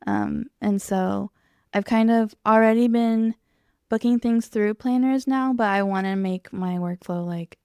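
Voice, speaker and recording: A woman's voice: medium pitch, measured pace, warm tone, clear, resonant tone, no echo, studio quality. A young woman co-hosting a podcast, explaining things in a casual, conversational way. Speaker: podcast co-host.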